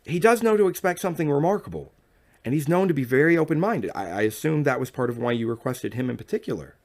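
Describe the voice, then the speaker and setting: A man's voice: a little high, expressive, fast, a little mellow, voice pushed up. A man voicing a congenial, reassuring female interviewer in an audiobook, conversational and persuasive. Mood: coaxing.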